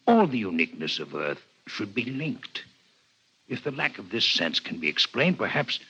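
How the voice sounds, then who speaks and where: An actor playing a courteous alien doctor in a 1950s radio drama, speaking formally and precisely. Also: male voice, mid-range voice, bright, forceful tone, husky, exaggerated voice. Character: courteous six-legged alien doctor visiting Earth, male. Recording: dull vintage 1950s radio recording.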